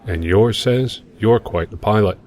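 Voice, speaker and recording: An actor playing a confident, tough fighter of a ranger in an audio drama. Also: male voice, fairly low pitch, measured pace, darkish tone, lightly acted. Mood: confident, terse. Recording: busy crowd behind the voice.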